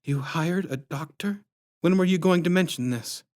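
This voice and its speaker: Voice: mid-range voice, normal speed, a little mellow, pitched above the performer's own voice, close to an everyday voice. A narrator doing a fretful young mother. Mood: anxious. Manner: worried, pleading. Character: anxious mother, a woman in her thirties.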